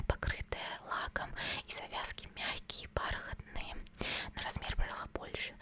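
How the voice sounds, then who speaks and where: A woman whispers softly as she walks through a product review in ASMR style. Her voice stays breathy and unvoiced, as a gentle whisper from start to finish. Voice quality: soft whisper. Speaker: ASMR creator.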